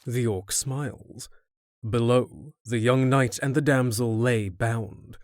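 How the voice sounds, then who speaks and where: A man's voice: mid-range voice, takes it slowly, darkish tone, no echo, studio quality. A man narrating a scripted fantasy audio drama in a descriptive storytelling manner.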